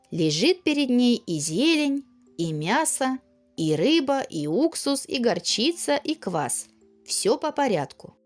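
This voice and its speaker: A woman narrates a fairy tale for children with expressive, singsong delivery. Her pitch swings widely through each phrase. Soft music plays behind her. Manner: animated, singsong storytelling for children.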